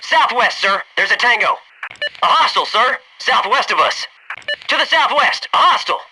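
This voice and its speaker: A man's voice: very high voice, expressive, moderate pace, background noise. A male actor playing a loyal, wisecracking special forces soldier in a military shooter game, speaking in clipped military phrases. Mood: businesslike.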